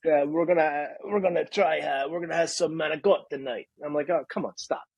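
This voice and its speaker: A man's voice: a little high, steady tone, fairly quick, vocal fry, husky, no room sound. A man with a Philadelphia accent co-hosting a podcast, wry and easygoing in casual chat. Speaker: podcast co-host.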